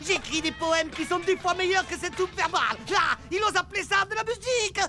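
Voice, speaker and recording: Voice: very high voice, brisk, bright, forceful tone, faint music behind, full character voice. An actor voicing a pompous, irritable evil genius in a cartoon dub, haughty and easily outraged.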